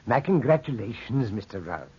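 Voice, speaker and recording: An actor playing an obsequious, coaxing household servant with a husky voice in an old-time radio drama. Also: male voice, fairly low pitch, sing-song, moderate pace, warm tone, full character voice. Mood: deferential, wheedling. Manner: deferential, coaxing. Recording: muffled vintage radio recording.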